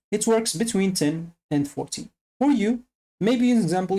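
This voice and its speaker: A tutorial presenter giving a casual, loosely improvised software walkthrough with repetitive explanations. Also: mid-range voice.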